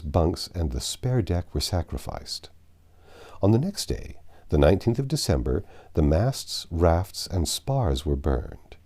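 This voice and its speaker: A man narrating a classic adventure story aloud in an expressive storybook style. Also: low voice. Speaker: audiobook narrator.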